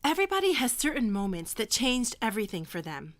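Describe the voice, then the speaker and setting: Strict teacher running detention, audio drama, kinda high voice, firm and instructive. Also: female voice, very animated, quick pace, a bit bright. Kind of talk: naturalistic audio drama acting.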